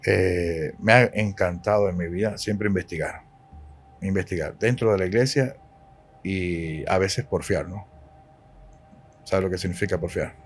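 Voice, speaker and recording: Cuban guy in his 40s, priest on a podcast, low voice, leans hard on words and says them twice. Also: a little slow, long pauses, slightly rough. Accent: Cuban Spanish. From Cuba. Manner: emphatic, preachy, repeats key words. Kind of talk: podcast interview.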